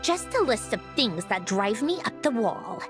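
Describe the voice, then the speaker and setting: A woman voicing a young female automaton in a video game, speaking in a measured, explanatory, slightly sardonic way. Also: a little high, sing-song. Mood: wry. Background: game soundtrack music.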